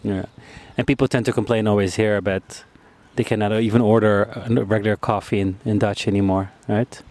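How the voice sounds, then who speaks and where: Relaxed male street interviewer. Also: on the low side, fairly quick. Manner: relaxed, conversational, with fillers and restarts. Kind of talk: casual interview. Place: outdoors in a city park.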